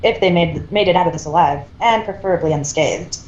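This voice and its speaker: A woman's voice: on the low side, fairly quick, a little mellow, lo-fi sound, slight background noise. A woman reading fan fiction aloud as narrator, in a plain amateur way with small stumbles. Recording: roomy, echoing home recording.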